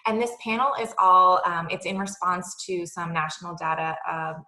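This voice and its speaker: A woman co-hosting an online teaching course, explaining calmly and often agreeing, with "um"s and "uh"s. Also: on the low side, normal speed. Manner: agreeable, backchannels often, filler-laden. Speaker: course co-host, literacy educator. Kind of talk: casual instructional discussion. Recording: roomy echo.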